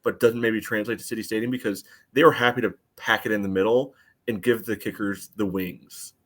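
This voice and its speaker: A man's voice: lowish voice, fairly even intonation, brisk, a bit bright. A man co-hosting a sports podcast, casually explaining soccer tactics with frequent asides.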